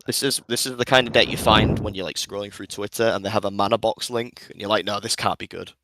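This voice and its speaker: A man's voice: on the low side, steady tone, fast, background noise. A guest player who jokes about his own deck and laughs often in casual banter. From United Kingdom. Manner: self-mocking, laughs often. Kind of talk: casual game-night banter.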